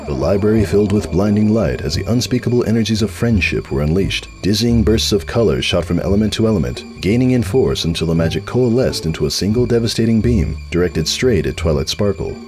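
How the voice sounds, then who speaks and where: A man with a deep voice performs dramatic narration for a comic story. He is animated and mock-grandiose, dropping into deadpan asides, with faint music underneath. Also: fairly quick, hardly any pauses.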